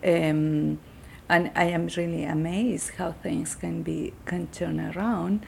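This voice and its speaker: Very creaky-voiced woman with a Chilean accent. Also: on the low side, steady tone, takes it slowly, darkish tone, a little hiss or hum. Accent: Chilean Spanish. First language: Spanish. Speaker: interviewee. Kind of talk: reflective personal interview answers.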